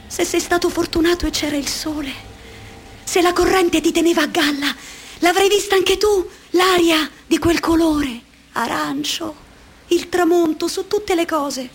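A lonely young woman in a radio play. Her voice sits high and light. She speaks in a hushed, slow, musing way. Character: lonely, dreamy young woman, fragile and provocative. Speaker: actress in a radio drama.

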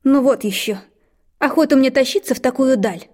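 Woman doing a bratty spoiled girl, sarcastic, high and whiny, dark husky tone. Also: normal speed. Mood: sulky. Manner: sneering, sarcastic and grumbling. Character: rude, spoiled, sulky elder daughter. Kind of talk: storybook reading.

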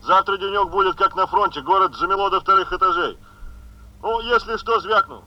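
An actor in a 1970s radio drama playing a brisk emergency headquarters chief, giving clipped reports through a telephone filter. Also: male voice, high voice, highs cut off. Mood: wry. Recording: band-limited telephone sound in old radio play.

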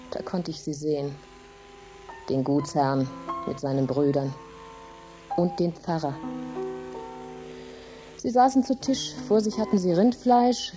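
Embittered, grieving peasant widow, played by an actress, low-voiced. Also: female voice, lightly acted. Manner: intense, dramatic, emphatic storytelling with hushed drops. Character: grief-stricken, embittered poor farm labourer's widow speaking through Rachel. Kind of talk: German radio drama monologue.

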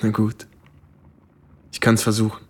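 An actor in a German radio drama playing a brooding, wry American former war reporter who speaks reflectively and emphatically. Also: male voice, on the low side, completely flat delivery, a little slow, breathy edge. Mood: reluctant.

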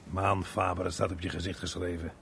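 An actor playing a pushy, suspicious male visitor in a radio play, rough-spoken and insinuating. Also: deep voice, level tone, a little fast, fairly bright tone, slight background noise, exaggerated voice. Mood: insinuating, menacing. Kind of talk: radio drama acting.

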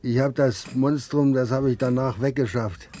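A man's voice: mid-range voice, moderate pace, dark tone, a little hiss or hum, close to an everyday voice. An actor playing an evasive fairground showman, a burly former wrestler, talking colloquially and making sheepish excuses in a 1960s German radio crime drama. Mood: confessing, defensive.

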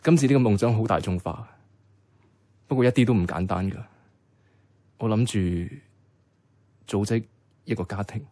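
A man's voice: lowish voice, unhurried, long pauses, warm tone, slight background noise, lightly acted. An actor with a Hong Kong Cantonese accent playing an earnest, clumsy, lovestruck young man in a radio drama. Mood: quiet, sincere.

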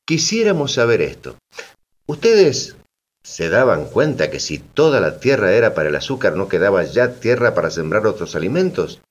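An actor playing a sardonic storyteller and host in a satirical radio drama, colloquial and ironic with a mock-friendly manner. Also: male voice, fairly low pitch, big pitch swings, moderate pace, lo-fi sound. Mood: mocking, playful. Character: wry, sardonic male storyteller who interrogates historical villains. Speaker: narrator and host.

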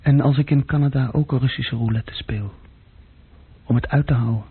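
A man playing a young, self-critical office group leader musing drily in a radio play, with a husky voice. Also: mid-range voice, steady tone, moderate pace. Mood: musing, self-mocking. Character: young male bookkeeping group leader, self-critical, sardonic, restless dreamer. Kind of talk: radio play inner monologue. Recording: muffled phone-like old radio recording.

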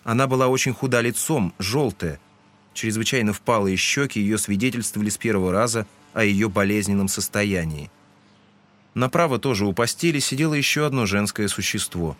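A man's voice: fairly low pitch, brisk, fairly bright tone. A man reading scripted literary narration as the narrator of a dramatized audiobook.

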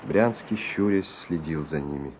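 A man's voice: a bass voice, moderate pace, noisy background. A man narrating a literary radio play in a dramatic storytelling style. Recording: dull lo-fi radio recording over music.

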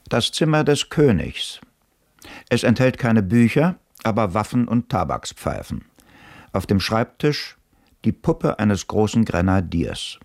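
A man's voice: fairly low pitch, sing-song, measured pace, vocal fry, a little mellow. A man narrating stage directions for a radio play in an announcing manner.

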